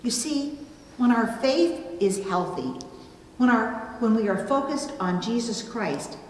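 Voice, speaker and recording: A middle-aged woman preaching a sermon from a pulpit, with deliberate, emphatic phrasing and pauses. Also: on the high side, animated. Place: indoors at a church pulpit. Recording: roomy, reverberant church, distant, faint noise.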